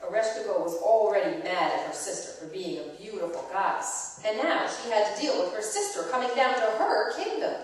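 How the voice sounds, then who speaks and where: A woman telling a myth aloud in a dramatic reading, expressive and explanatory, as if to children. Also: medium pitch. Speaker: storyteller. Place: church, performed live before listeners. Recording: very echoey church hall, distant microphone.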